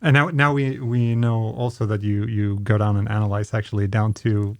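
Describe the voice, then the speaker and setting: A man's voice: lowish voice, level tone, moderate pace, no room sound. Man hosting a casual podcast, dry sound. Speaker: podcast host. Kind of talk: casual interview chat. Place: small podcast studio indoors. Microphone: close studio microphone on boom arm, with headphones.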